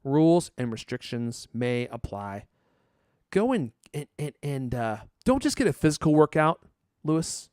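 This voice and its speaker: Animated, high-pitched man hosting a podcast. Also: unhurried, smooth, no echo, clean studio sound. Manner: jokey, teasing, theatrical emphasis. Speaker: podcast host. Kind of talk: casual chat and ad reads.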